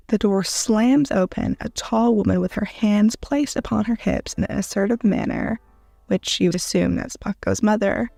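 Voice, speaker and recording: A woman narrating a scripted second-person story in a dramatic manner with deliberate pauses. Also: mid-range voice, vocal fry, a little mellow, no room sound. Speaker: narrator of fan fiction audio.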